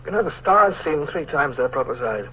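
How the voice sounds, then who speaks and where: A commanding naval commodore gives orders and narrates in an authoritative, even voice, played by a man. The sound is narrow and phone-like, with a faint hiss under it, as in a vintage radio serial. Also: fairly high pitch, a little fast, close to an everyday voice. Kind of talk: 1940s radio acting. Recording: tinny old radio broadcast.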